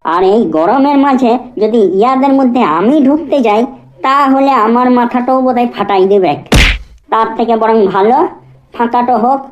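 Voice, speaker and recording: A woman's voice: fairly high pitch, a little mellow, lo-fi sound, close to an everyday voice. A woman with a western rural West Bengal accent playing a cheeky, grumbling street interviewer in a comedy cartoon. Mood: resigned. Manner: teasing, grumbling. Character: cheeky, muttering street television interviewer at liquor queue.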